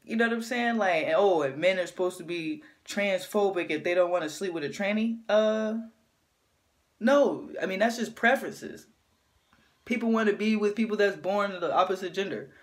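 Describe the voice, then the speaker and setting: A woman with a deep voice gives an animated opinion rant to camera, often checking in with her listener. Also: moderate pace. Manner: animated, keeps asking "you know what I'm saying". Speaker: vlogger.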